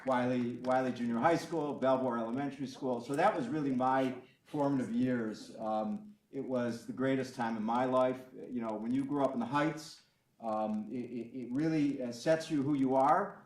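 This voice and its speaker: A man's voice: medium pitch, fairly even intonation. A man from the Cleveland area speaks to a public forum as a county official. His delivery halts, full of ums and restarts. The echoey room carries his voice around it. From Cleveland area, Ohio. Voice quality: loud voice. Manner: halting, many ums and restarts. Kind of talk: unscripted public talk.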